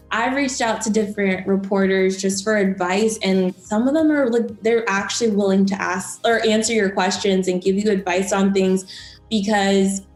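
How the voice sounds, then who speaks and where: A young woman from Florida who works as a news anchor, giving chatty, encouraging advice with many fillers. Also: medium pitch. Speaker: broadcast journalist and news anchor. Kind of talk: casual interview advice. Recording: roomy echo, faint music.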